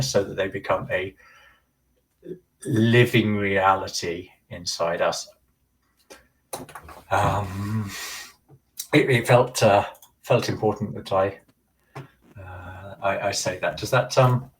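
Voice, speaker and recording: A man's voice: deep voice, fairly bright tone, far from the mic, slight background noise, rough recording. A man earnestly explaining ideas at length in a casual talk, often restarting his sentences. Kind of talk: casual discussion.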